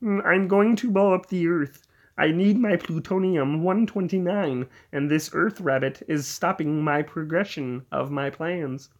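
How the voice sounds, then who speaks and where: A scheming little cartoon Martian villain, as a man puts him on, pitched above the performer's usual voice. He plots in a slow, nasal drawl. The voice sounds a little distant from the mic. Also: highs cut off. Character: scheming male cartoon alien from Mars.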